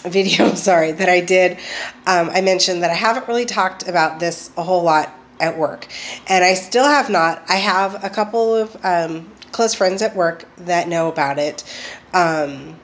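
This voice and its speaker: A woman's voice: lowish voice, moderate pace. A woman vlogging at home, chatty and hesitant with lots of ums, getting over a cold. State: recovering from a cold. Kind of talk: casual personal video diary.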